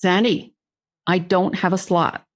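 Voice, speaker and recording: A woman voicing a gruff, dismissive male news boss with a Southern US accent in a dramatic book reading. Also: fairly low pitch, little pitch movement, unhurried, lightly acted. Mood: firm.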